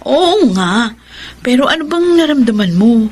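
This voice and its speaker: A woman's voice: on the high side, very animated, a little mellow. A woman acting a nosy, teasing young Filipina confidante in a radio drama, drawing out her words playfully. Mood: teasing, probing. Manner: teasing, drawn-out.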